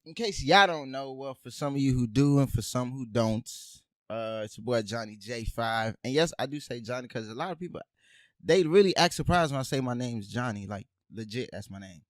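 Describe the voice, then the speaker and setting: A young man from Haiti co-hosting a casual podcast, an animated storyteller who says "bruh" and "man" a lot. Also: mid-range voice, brisk, no room sound, studio quality. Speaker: podcast co-host. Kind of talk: casual chat.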